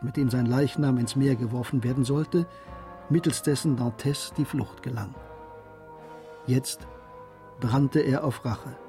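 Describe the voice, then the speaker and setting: A man narrating a historical radio drama as a composed storyteller, in a measured, literary manner. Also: medium pitch, dark tone, natural voice.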